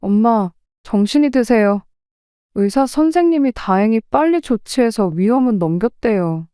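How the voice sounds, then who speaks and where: A woman's voice: a little high, expressive, moderate pace, mellow, clear, resonant tone, dry recording, studio quality, natural voice. A woman playing an earnest, protective daughter. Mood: earnest, worried. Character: devoted adult daughter, worried and indignant. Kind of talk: audio drama acting.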